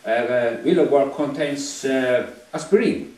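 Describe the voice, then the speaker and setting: A man's voice: mid-range voice, takes it slowly, darkish tone. Sami man guiding, far and echoey. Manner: plain explaining in non-native English. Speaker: tour guide. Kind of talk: guided tour talk to visitors. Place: indoor venue with tour group. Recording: echoey room from far off with faint noise.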